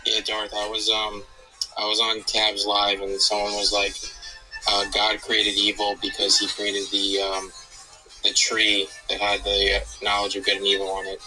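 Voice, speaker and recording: A hesitant man speaks in a flat, even voice and often stops on fillers. Music plays clearly in the background. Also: fairly low pitch, normal speed, low-quality recording. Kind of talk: casual live-stream chat.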